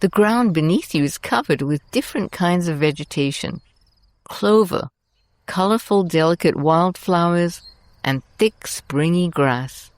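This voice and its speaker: A woman host narrating a scripted guided meditation in a soothing, deliberate manner, leaving long pauses between short phrases. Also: on the low side, lively intonation, darkish tone, dry recording.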